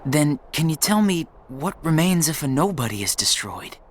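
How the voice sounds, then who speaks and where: A man's voice: on the high side, very animated, normal speed, a little hiss or hum. An actor playing an earnest teenage boy, naive and searching with uncertain questions, in a video game cutscene.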